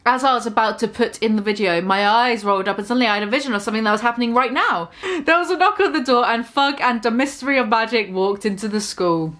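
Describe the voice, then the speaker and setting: A woman's voice: fairly high pitch, fast talker, fairly bright tone. A young woman giving a mock-dramatic reading of a fan story, swearing and breaking off to comment on the text. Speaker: reader.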